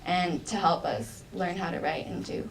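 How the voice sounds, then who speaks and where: A woman's voice: lowish voice, animated, moderate pace. Earnest young woman heard from a distance. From United States. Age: young adult. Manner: polite, earnest, with frequent likes and ums. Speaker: college student in a mock job interview. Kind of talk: mock job interview answers. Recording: distant mic with faint background noise.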